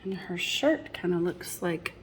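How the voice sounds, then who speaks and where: A woman's voice: lowish voice, lively intonation, a little slow, a little hiss or hum, rough recording. A woman reading a picture book aloud to children expressively, addressing young listeners directly with chatty asides. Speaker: storybook reader.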